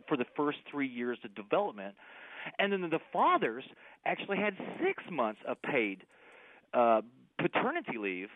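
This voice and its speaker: A man calling into a radio show, rambling and exasperated, with a somewhat higher voice. He comes through a tinny, phone-like band, and the sound is dry. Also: no room sound. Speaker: caller. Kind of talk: radio call-in question.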